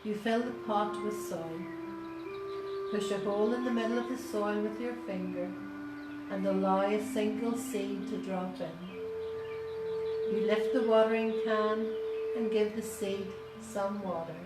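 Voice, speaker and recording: Woman doing a guided meditation, really slow with pauses, echoey and distant, loud music behind. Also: mid-range voice, fairly even intonation. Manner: measured, pausing between short phrases.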